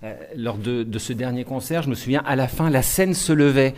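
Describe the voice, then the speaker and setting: A man's voice: medium pitch, a little fast, darkish tone. Chatty male interviewer. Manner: chatty, with stammered repeats and "euh". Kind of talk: interview questions.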